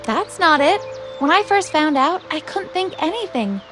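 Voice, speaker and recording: A woman's voice: extremely high pitch, animated, moderate pace, over music. A woman playing an earnest, trusting young female disciple in a fantasy game, speaking frankly and conversationally. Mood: calm, resolute. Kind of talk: dubbed fantasy game cutscene acting.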